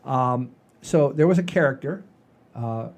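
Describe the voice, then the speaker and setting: A man's voice: mid-range voice, a little slow. A man in his sixties hosting a casual comedy chat show in a folksy, rambling, enthusiastic way. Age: 60s. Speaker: podcast host.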